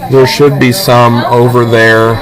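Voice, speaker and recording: A man's voice: mid-range voice, flat delivery, unhurried, over music, rough recording. A man teaching a hands-on drawing lesson step by step, in an instructional manner with short check-in questions. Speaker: art teacher. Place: classroom with students. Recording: classroom chatter around the voice.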